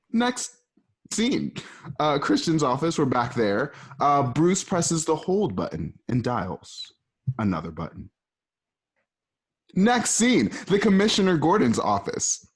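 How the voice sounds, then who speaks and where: A man's voice: medium pitch, husky. A man reading the stage directions of a home-recorded radio play in a plain, matter-of-fact way. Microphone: home microphone.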